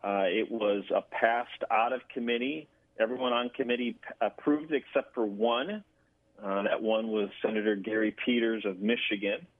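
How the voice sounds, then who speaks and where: A man with a fairly low voice speaks as an interview guest over a phone-like connection. He explains things in a measured but halting way, and the sound is thin and dry. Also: fairly even intonation, no room sound. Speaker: interview guest, government affairs director. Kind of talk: radio interview answers.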